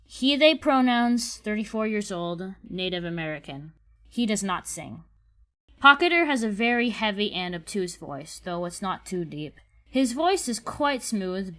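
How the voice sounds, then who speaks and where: A woman narrating a scripted casting call with image descriptions, reading in a measured, methodical way. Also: mid-range voice, fairly bright tone.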